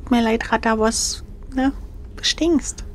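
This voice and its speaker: A woman's voice: a little high, measured pace, a little hiss or hum. A woman chatting casually and cheekily as the player in an adventure gamebook. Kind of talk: casual playful chat.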